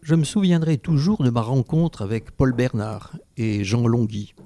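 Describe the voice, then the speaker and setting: A man's voice: mid-range voice, moderate pace, dark tone, close to an everyday voice. An actor playing a reflective French Resistance fighter who recounts his wartime memories as first-person narration in an audio drama, recorded over music. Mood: reflective, solemn.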